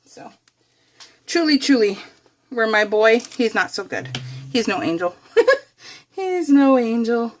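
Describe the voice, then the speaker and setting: A woman's voice: a little high, very animated, takes it slowly, long pauses, a little hiss or hum. Chatty, giggly middle-aged woman hosting craft videos. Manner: rambling, self-correcting, frequent giggling. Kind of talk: casual chatty voice-over while crafting.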